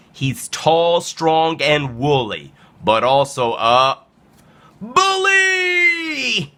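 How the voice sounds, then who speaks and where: A man's voice: medium pitch, bright, forceful tone. A man reading a children's picture book aloud in a playful, dramatic storytelling style.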